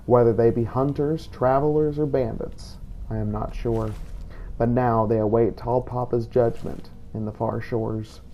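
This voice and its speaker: A man voicing a solemn, devout vampire hunter from a desert land, telling his journey in measured, grave journal narration for a game playthrough. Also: medium pitch, steady tone, muffled recording, a little hiss or hum, natural voice. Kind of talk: scripted in-character journal narration.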